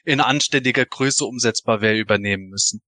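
Bright-voiced man on a podcast. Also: medium pitch, a little fast, no room sound. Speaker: podcast panelist on a toy collector show. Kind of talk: casual chat.

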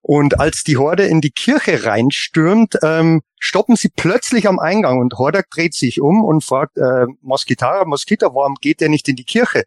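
Higher-voiced man, halting podcast chat. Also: expressive, no echo, studio quality. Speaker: podcast panelist. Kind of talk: casual podcast chat and anecdote telling.

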